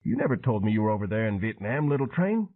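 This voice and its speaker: A man's voice: fairly low pitch, big pitch swings, fast talker. A man voicing a nervous local guy. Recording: tinny phone-like sound.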